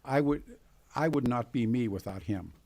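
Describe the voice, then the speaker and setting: A man's voice: mid-range voice, expressive, moderate pace, darkish tone. A man in his eighties with an American accent hosting a live radio interview, chatting casually in an effusive, joking, rambling way. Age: 80s. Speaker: radio talk host.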